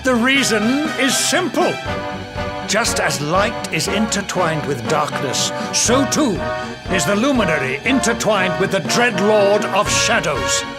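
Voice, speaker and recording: A man's voice: high voice, very animated, measured pace. An actor playing a grave, condemning king in a fantasy video game, with solemn, portentous, declamatory delivery. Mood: grave, ominous. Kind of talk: dramatic video game cutscene acting. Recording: loud music under the voice.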